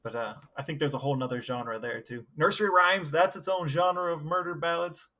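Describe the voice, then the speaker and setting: A man's voice: on the high side, fairly quick, sharp tone. A man co-hosting a podcast, chatting casually and asking playful questions in a wry, mock-serious way. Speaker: podcast co-host. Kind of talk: casual chat and playful interviewing.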